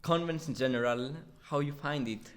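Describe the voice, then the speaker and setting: A man's voice: medium pitch, moderate pace, a bit bright. A man hosting a podcast, speaking in a conversational academic interview style.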